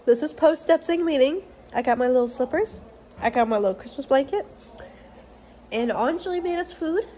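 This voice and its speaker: A woman's voice: high-pitched, lively intonation, normal speed, dark tone, slight background noise. Chatty young woman vlogging. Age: young adult. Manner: chatty, upbeat, lots of fillers. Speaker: college student vlogger. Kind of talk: casual vlog chat. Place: dorm room and outdoors. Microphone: phone held at arm's length.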